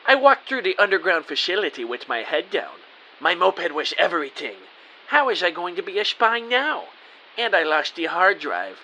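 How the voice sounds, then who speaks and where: Very bright, excitable rookie spy played by a man. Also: high-pitched, normal speed, heavily acted, rough recording. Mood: dramatic, anxious. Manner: eager, melodramatic. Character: earnest, excitable male rookie spy narrating his adventure. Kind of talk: first-person audio drama narration with dialogue. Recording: faint background hiss.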